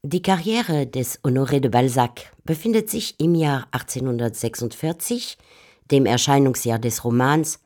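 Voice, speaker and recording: A commentator with a medium-pitched voice reads scripted literary commentary. The delivery is that of an explanatory radio essay. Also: animated, moderate pace, darkish tone.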